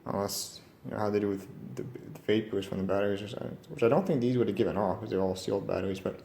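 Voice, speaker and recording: Low-voiced man musing in an echoey room. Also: steady tone, gravelly, dark tone. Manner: musing aloud, tentative and halting. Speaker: radio repair hobbyist presenting. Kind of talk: unscripted hands-on walkthrough. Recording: roomy echo, background noise, varying distance.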